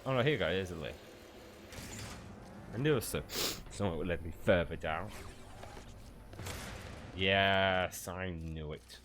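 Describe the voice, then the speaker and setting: A man's voice: low voice, a little slow, pauses often, fairly bright tone, slight background noise. A man with a stuffy, cold-congested voice, giving rambling, casual commentary while streaming a video game and often swearing.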